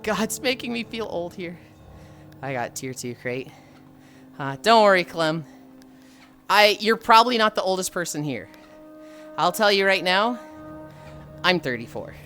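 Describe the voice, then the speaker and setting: Tired, sardonic woman streamer, lively pitch. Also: measured pace, pauses often, soft background music. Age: 30s. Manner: sighing, sardonic, swears freely. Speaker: livestreamer. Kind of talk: casual stream chat.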